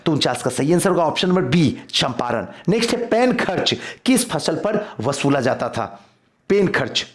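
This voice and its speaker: Higher-pitched man teaching, very animated intonation. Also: moderate pace. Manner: instructive, repeats questions and answers for emphasis. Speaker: exam-prep history teacher. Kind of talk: quiz lesson, reading questions and explaining answers.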